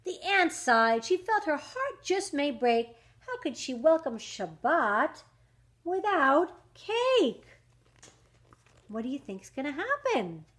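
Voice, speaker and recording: A woman reading a rhyming picture book aloud to young children, with dramatic emphasis, drawn-out words and questions to her listeners. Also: sits high, sing-song, fairly bright tone. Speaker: children's story reader.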